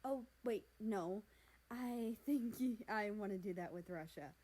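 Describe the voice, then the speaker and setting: A woman's voice: medium pitch, measured pace, mellow, lightly acted. Woman playing a teasing, sarcastic older brother. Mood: excited teasing.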